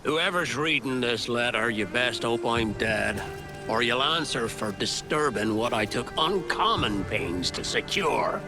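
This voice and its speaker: An actor playing a gruff, wry old pirate captain reading out his letter in a game cutscene, deliberate and theatrical, with colloquial seafaring speech. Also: medium pitch, animated. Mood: wry, ominous. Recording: loud music under the voice.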